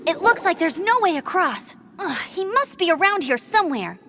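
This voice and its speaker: A bright, eager young scout. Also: female voice, very high-pitched, sing-song, moderate pace, faint music behind, heavily acted. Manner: eager and determined. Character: spirited young female knight scout.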